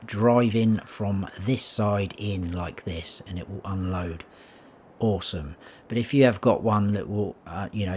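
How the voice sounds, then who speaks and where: A man with a croaky voice giving a chatty walkthrough of a farming game map, often correcting himself as he goes. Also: sits low, a little hiss or hum. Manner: chatty, self-correcting, talks to viewers. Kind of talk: casual gameplay tour commentary.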